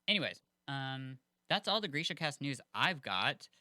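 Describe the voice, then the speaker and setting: A man's voice: mid-range voice, big pitch swings, moderate pace, bright, forceful tone, dry recording, studio quality. A man co-hosting a podcast, chatting casually and rambling with lots of fillers. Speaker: podcast co-host.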